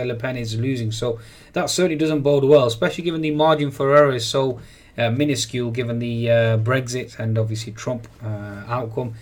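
A man giving daily market commentary over trading charts, hesitant with many fillers and frequent repeats. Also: medium pitch. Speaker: market analyst. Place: voice-over on screen recording.

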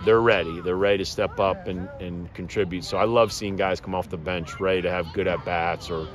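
A man in his fifties, a head baseball coach, answering postgame questions in a measured, plainspoken way with many fillers and restarts, outdoors at a ballpark at night. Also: low-pitched, fairly even intonation, over music. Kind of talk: postgame media interview. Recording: outdoor background noise.

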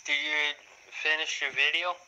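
Bright-voiced chatty man on a radio. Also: fairly high pitch, little pitch movement, moderate pace. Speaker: radio chat participant. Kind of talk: casual chat over push-to-talk app. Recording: muffled radio audio with faint hiss.

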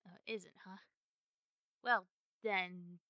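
Woman to play cutesy, snack-greedy girlfriend for ASMR audio roleplay; coy and stammering, slow pace, very dry close sound. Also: lowish voice, fairly even intonation, no echo, lo-fi sound. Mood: coy bargaining. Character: cute playful cookie-greedy girlfriend, childishly possessive.

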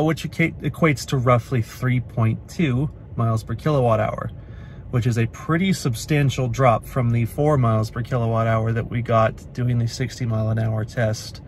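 A man's voice: medium pitch, slight background noise. A man in his thirties from the Pacific Northwest, vlogging to a phone camera from inside his car at night, talking in a chatty, matter-of-fact way with lots of uhs. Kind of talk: casual vlog talk to camera. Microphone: phone camera at arm's length.

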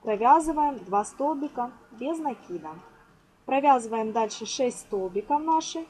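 High, lively woman giving a tutorial. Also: unhurried, low-quality recording. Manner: instructional, counts aloud, uses affectionate diminutives. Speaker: craft tutorial host. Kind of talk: step-by-step crochet lesson, informal narration. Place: indoors at a table. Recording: clear background noise, amateur home recording.

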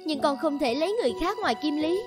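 A woman's voice: high-pitched, lively intonation, fast talker, over music. A woman voicing a devoted, stubborn young village woman in love in a cartoon, earnest and pleading. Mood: pleading, defiant. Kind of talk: cartoon voice acting.

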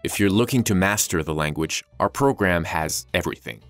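A man giving scripted promotional narration for a language course in a smooth announcer delivery. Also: low voice, expressive, moderate pace. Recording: voice-over with soft background music.

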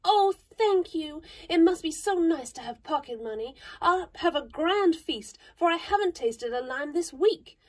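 A woman's voice: very high-pitched, lively intonation, measured pace, hardly any pauses, pitched above the performer's own voice. A woman voicing a vain young girl who is fond of big words, speaking in an earnest, fussy, chattering way. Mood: eager chatter.

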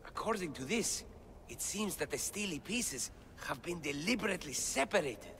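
Here is an earnest young scholar of ancient things in a video game. His pitch sits a little high and swings with eagerness as he explains. The voice rings in a reverberant chamber. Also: expressive, normal speed, a bit bright, slight background noise. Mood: thoughtful, explanatory. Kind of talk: video game cutscene acting.

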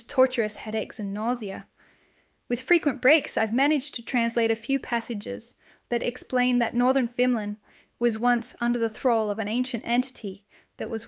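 Woman acting as young female student, horror audio diary, confiding, dramatic, slightly high pitch, dry recording. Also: no room sound, natural voice. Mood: uneasy, obsessed. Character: frightened young Finnish student keeping a video diary.

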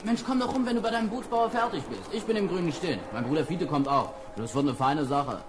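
An actor playing a brash young shipyard worker, talking in cocky slang in an audio drama. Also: male voice, a little high, fast talker, heavy background noise, natural voice. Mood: cheerful, teasing. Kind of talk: German children's audio drama acting.

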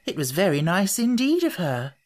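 A polite young girl from a children's storybook speaks in a high, prim voice, as a reader puts her on. Her pitch is raised well above the narration. She sounds earnest and well-mannered. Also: big pitch swings, moderate pace, a little mellow, natural voice.

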